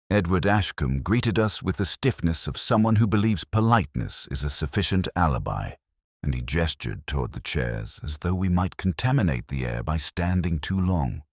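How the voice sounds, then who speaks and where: A man reading scripted literary detective fiction in the first person, in a measured, formal, brooding manner. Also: very deep voice, no echo, clean studio sound. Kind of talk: scripted literary mystery narration.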